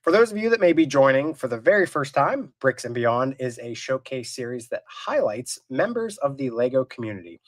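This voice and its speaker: A man's voice: medium pitch, no room sound. Male host of a live video-call show, under the weather and sniffly, rambling friendly chat, dry close sound. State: stuffy from a cold.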